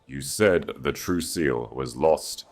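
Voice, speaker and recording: A man voicing a resolute young princess with measured, regal authority in an audiobook. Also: a bass voice, faint music behind, heavily acted. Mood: accusing. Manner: declarative, commanding, measured.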